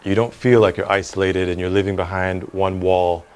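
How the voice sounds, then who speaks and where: A middle-aged American man with a fairly low voice gives an interview as a business executive. He speaks in an articulate, earnest, conversational way. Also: little pitch movement, brisk. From Cleveland, United States. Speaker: real estate executive being interviewed.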